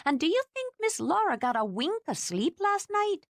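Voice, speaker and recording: A woman's voice: extremely high pitch, sing-song, moderate pace, no room sound, clean studio sound, voice pushed up, exaggerated voice. A woman voicing a stern, bossy older housekeeper who scolds and lectures out of fierce fondness. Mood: scolding.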